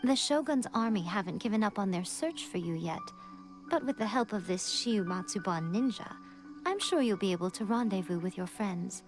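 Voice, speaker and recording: A woman's voice: mid-range voice, expressive, moderate pace, faint music behind, close to an everyday voice. A woman playing a sly, elegant shrine maiden in a video game, poised and formal, teasingly condescending as she gives orders. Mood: teasing, instructing. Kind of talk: English video game voice acting.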